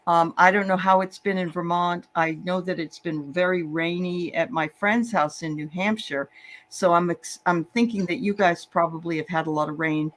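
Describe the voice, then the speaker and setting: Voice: medium pitch, steady tone, moderate pace, a bit bright. A host of a psychic show giving readings in a casual, encouraging chat.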